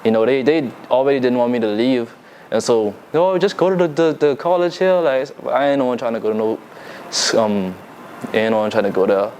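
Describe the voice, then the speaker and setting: A young man from the Bahamas with a Bahamian accent, talking casually as a music artist being interviewed, relaxed and full of fillers and restarts. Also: medium pitch, brisk, slight background noise. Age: young adult. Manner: laid-back, loose, lots of fillers and restarts. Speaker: musician guest.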